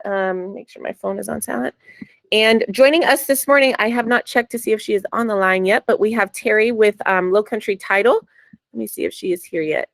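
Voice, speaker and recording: Raspy-voiced woman hosting a meeting. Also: fairly high pitch, no echo. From New Jersey. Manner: chatty, upbeat, frequent ums. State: raspy this morning. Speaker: sales meeting host. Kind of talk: casual meeting announcements.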